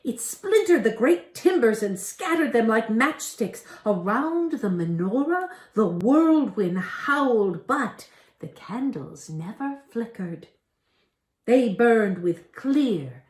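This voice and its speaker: A woman's voice: fairly high pitch, very animated, takes it slowly, darkish tone. An older woman reading a story aloud expressively, as a storyteller in a room at home. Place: indoor room at home, by lit candles. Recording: roomy echo.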